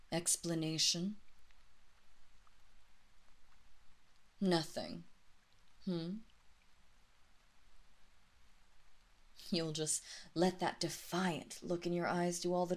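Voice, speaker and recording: A woman playing a haughty, seductive vampire lord in a roleplay audio drama, commanding and deliberate, drawing out words and slipping into breathy whispers. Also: on the low side. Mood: taunting. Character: haughty, seductive female vampire lord, mistress of a great house.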